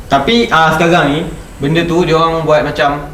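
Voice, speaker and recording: A man's voice: fairly high pitch, fairly even intonation, moderate pace, fairly bright tone, a little hiss or hum. Young Malaysian man in a roomy space. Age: young adult. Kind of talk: casual ghost-story chat. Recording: roomy, distant mic, faint music.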